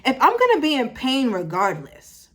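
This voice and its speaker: A woman's voice: high voice, wildly swooping pitch, moderate pace, fairly bright tone. A woman in her twenties giving a casual motivational talk to her viewers, emphatic, driving points home through repetition and stopping to correct herself. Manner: emphatic, repeats phrases for effect, self-correcting. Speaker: motivational vlogger.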